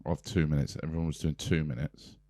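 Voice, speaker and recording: A man's voice: extremely low pitch, steady tone, fairly quick, dark tone, dry recording, clean studio sound. A man co-hosting a comedy podcast, telling stories in a wry, chatty way and laughing often. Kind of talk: casual banter.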